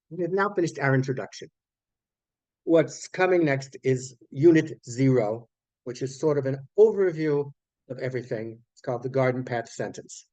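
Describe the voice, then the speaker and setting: A man teaching an online class in an informal lecture style, explaining things haltingly and often correcting himself mid-sentence. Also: mid-range voice, a little mellow, no echo, dull audio. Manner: halting, explanatory, with repeated phrases and self-corrections. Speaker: online course teacher of academic English writing.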